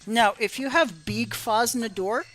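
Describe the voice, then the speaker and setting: A woman streaming a game tutorial in casual chat, her voice going hoarse after hours of talk, rambling and apologetic with many fillers. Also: fairly high pitch, a little slow, fairly bright tone. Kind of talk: casual live-stream commentary.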